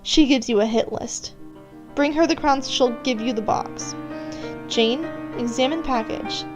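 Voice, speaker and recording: A woman's voice: high-pitched, unhurried. A woman reading scripted second-person story narration, addressing the listener as the character. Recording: music playing behind. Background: background music.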